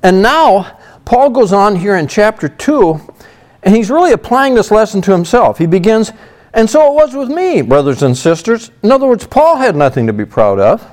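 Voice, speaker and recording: A man's voice: high-pitched, very animated, moderate pace, a little mellow. A middle-aged man teaching a sermon through a Bible passage in a conversational way, leaning on "you know" as he explains. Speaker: pastor.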